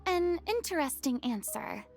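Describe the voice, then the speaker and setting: A proud young stage star with a high voice and refined, grandiloquent phrasing. The delivery is dramatic video game dialogue over faint music. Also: female voice, sing-song, a little slow, gravelly, heavily acted. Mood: reflective. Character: theatrical, proud young woman, retired stage celebrity. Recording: soft music behind the voice.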